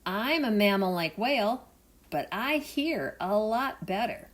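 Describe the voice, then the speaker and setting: A woman's voice: medium pitch, animated, unhurried, close to an everyday voice. A woman voicing a dolphin that brags about its hearing and diving, in a read-aloud storybook. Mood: bragging. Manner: eager, bragging. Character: eager bragging dolphin.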